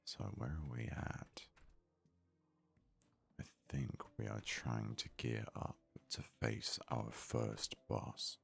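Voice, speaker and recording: A man softly narrating an ASMR video game let's play, rambling and thinking aloud, close to a sensitive microphone. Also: a bass voice, fairly even intonation, slow pace, gravelly, breathy edge. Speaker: gaming video host. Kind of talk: whispery soft ASMR let's play commentary. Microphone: close, highly sensitive microphone.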